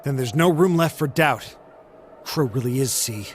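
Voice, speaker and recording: A man's voice: mid-range voice, lively intonation, normal speed, faint music behind, natural voice. An actor playing a serious, resolute young man allied in a fantasy war video game. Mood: grim. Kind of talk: English dub video game cutscene acting. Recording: faint background noise.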